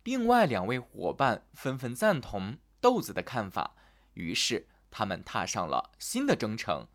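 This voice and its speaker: Male children's narrator, animated sing-song fairy-tale delivery. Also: mid-range voice, a little slow. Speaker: children's storyteller.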